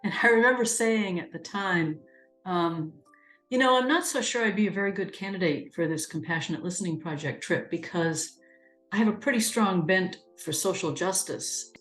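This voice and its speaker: A woman from New Hampshire sharing her experience in an animated way, laughing as she retells moments. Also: on the low side, moderate pace. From New Hampshire, USA. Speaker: interviewee, churchgoer. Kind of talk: reflective testimony. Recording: roomy sound with music bed.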